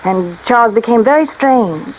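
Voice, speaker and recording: An actress playing a self-pitying, calculating wife in a 1950s radio detective drama, speaking plaintively and coyly. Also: female voice, on the high side, animated, moderate pace, a little mellow, natural voice. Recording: muffled, hissy vintage radio recording.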